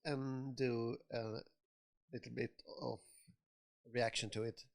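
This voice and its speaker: A man with a Swedish accent co-hosting a podcast, talking slowly with many pauses and fillers. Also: mid-range voice, level tone, creaky. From Sweden. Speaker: podcast co-host. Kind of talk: casual chat.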